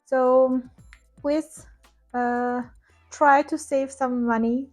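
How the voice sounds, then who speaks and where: A woman's voice: high-pitched, fairly even intonation, drawn-out words, darkish tone, highs cut off, faint music behind. A woman who is a non-native English speaker and an English teacher, giving earnest, direct advice to viewers in a vlog. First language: not English. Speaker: ESL teacher, vlogger.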